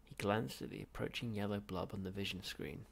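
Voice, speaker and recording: A man narrates a comic novel in a very creaky, gravelly voice with a husky grain. It is expressive storytelling, pitched on the low side. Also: brisk. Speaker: audiobook reader. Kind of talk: expressive literary narration of comic science fiction.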